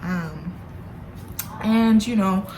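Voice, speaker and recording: A chatty woman talks straight to her viewers in a casual update, tossing in playful asides along the way. Her voice sits at an ordinary middle pitch. Clear background noise runs under her voice the whole time. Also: extremely slow. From Haitian family background. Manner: chatty, playful, lots of y'all and baby girl asides. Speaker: vlogger. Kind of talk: casual direct-to-camera update.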